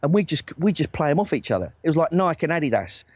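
A man with a slightly high voice tells stories in a loose, animated way and keeps checking in with "right?". The sound is dry and a little dull, like a lo-fi podcast recording. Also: quick pace, no echo. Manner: animated, lots of "right?" tag questions, bursts of laughter. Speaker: talent agent, podcast guest. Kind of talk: casual storytelling chat.

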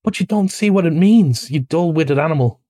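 A man voicing an excitable, kindly but bossy rat in a story, speaking in an eager, emphatic way. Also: a little high, moderate pace, dry recording, clean studio sound. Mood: impatient.

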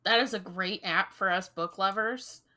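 A woman's voice: mid-range voice, moderate pace, bright, forceful tone. A woman co-hosting a book podcast in casual chat, often laughing and offering short supportive replies. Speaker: podcast co-host.

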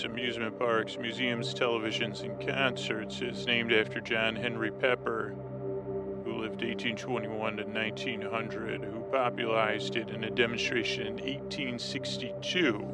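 Male sleep-podcast narrator, gravelly and monotone, drowsy, meandering and halting, music heavy over the voice. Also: lowish voice. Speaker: podcast host. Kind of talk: rambling sleep-story narration. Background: prominent music.

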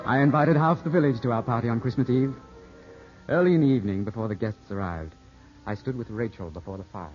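A man acting an impulsive, devoted young English gentleman in a 1950s radio drama, speaking with earnest, dramatic delivery. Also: mid-range voice, quick pace, close to an everyday voice. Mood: reflective. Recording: dull vintage radio recording with music behind.

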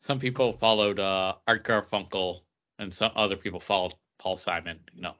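A man's voice: deep voice, fairly even intonation, normal speed, sharp tone, lo-fi sound. A man hosting a casual podcast conversation, steering the chat along.

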